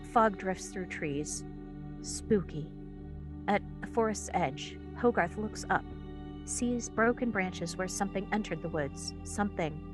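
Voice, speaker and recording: A woman reading stage directions as the narrator of a screenplay table read. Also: medium pitch.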